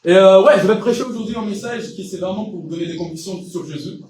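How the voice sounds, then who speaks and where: A man's voice: high voice, fast talker, crowd noise. A young man from Brazil with a Brazilian Portuguese accent, preaching an informal, conversational sermon with hesitant restarts and many fillers. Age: young adult. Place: church hall. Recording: echoey church hall, distant mic, congregation. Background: congregation responding.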